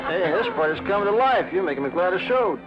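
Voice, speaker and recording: A man's voice: high-pitched, animated, fast talker. An actor playing a brash, swaggering gunslinger and ladies' man in a western drama, slangy and boastful. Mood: cocky. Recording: dull old soundtrack, music behind.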